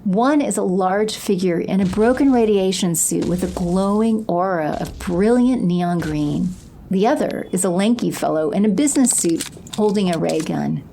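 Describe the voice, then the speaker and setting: A woman's voice: mid-range voice, normal speed, creaky, dark tone, a little hiss or hum. A woman reading scripted scene narration for an audio drama.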